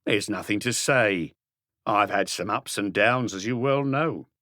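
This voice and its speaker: A man's voice: fairly low pitch, unhurried, pushed and bright, no room sound, studio quality. A man playing a shifty, defensive small-time crook.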